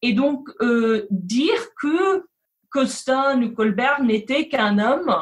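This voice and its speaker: A woman's voice: on the high side, expressive, slow pace. A woman being interviewed, making a spontaneous, explanatory argument as an academic guest.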